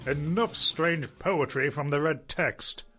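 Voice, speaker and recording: An actor playing a curt, stern, pompous wanderer who issues clipped formal orders in a web audio drama. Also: male voice, a little high, slow, music in the background. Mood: disdainful.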